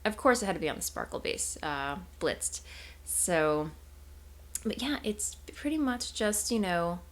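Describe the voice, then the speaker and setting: A woman's voice: lowish voice, sing-song, measured pace. A young woman hosting a knitting video podcast, chatting casually and rambling with self-corrections and small laughs. She sounds congested and a bit nasal, and tired.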